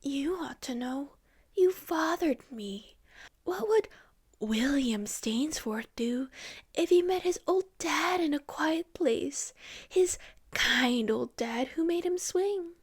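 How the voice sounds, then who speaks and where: A woman's voice: high voice, lively intonation, slow pace, voice pushed up. Sneering rogue policeman, as a woman reads him. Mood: menacing. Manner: sneering, whining. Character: menacing rogue male policeman, bitter and self-pitying.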